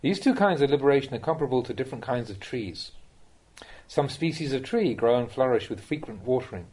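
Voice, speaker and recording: A man with a slightly husky voice reading a Buddhist Dhamma talk aloud as a monk, in a measured, instructive way that addresses the listener directly. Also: medium pitch, expressive, a little mellow.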